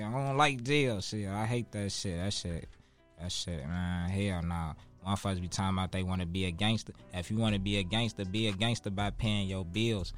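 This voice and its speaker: A man in his twenties with a Chicago accent and a fairly low voice. He talks casually as an interview guest, leaning on street slang, swearing and repeating phrases to press his point. Faint music plays behind him. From Chicago, United States. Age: 20s. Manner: street slang, profane, emphatic repetition.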